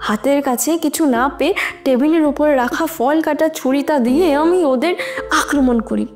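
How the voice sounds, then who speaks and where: Young female defendant played by a woman: high pitch, bitter and emotional, halting audio-drama confession. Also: darkish tone, soft background music, lightly acted. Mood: anguished confession. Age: young adult. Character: young female student confessing a killing in court, bitter, unrepentant.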